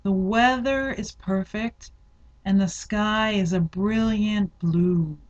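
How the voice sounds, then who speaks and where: Woman, yoga nidra instructor, slow measured phrasing, guided relaxation narration, dull recording. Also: mid-range voice.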